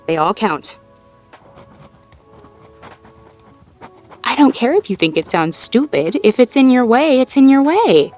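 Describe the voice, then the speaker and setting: A woman playing a supportive, playful girlfriend in an intimate one-sided roleplay audio, talking gently and encouragingly with light teasing and natural hesitations. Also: mid-range voice. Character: supportive, playful girlfriend helping partner problem-solve.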